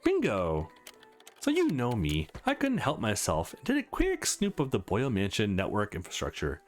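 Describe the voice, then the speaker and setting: A man performs a young woman hacker from a visual novel game. She is nerdy and eager, with a playful, cocky edge. Her delivery swings widely in pitch over faint background music. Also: huge pitch swings, moderate pace. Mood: eager, confiding.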